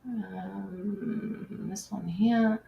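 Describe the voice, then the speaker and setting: A woman's voice: medium pitch, steady tone, very slow, creaky, echoey room, dull audio. A woman hosting a livestream, chatting informally with viewers and her guest. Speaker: livestream host. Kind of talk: casual livestream chat.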